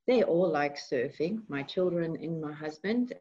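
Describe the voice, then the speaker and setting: Low-voiced Dutch woman, soft and earnest. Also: animated, a little slow, husky. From Netherlands. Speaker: radio guest. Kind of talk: personal testimony in a radio interview. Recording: muffled lo-fi radio sound.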